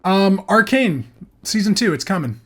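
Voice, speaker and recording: A man's voice: sits high, sing-song, moderate pace, fairly bright tone. A man hosting a podcast in casual group chat, informal and given to swearing. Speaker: podcast host.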